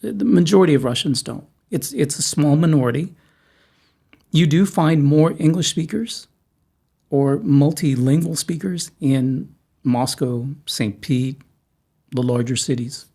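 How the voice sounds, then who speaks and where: A middle-aged man from South Texas, hosting a casual conversation, halting and repeating words, with a joking manner. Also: a little high, vocal fry, dark tone, slightly rough. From South Texas, USA. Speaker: host.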